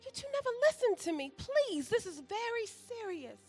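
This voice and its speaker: An actress in an audio drama playing a pastor's teenage daughter, urgent and pleading to be heard. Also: female voice, very high-pitched, very animated, moderate pace, faint music behind. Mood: pleading, frustrated.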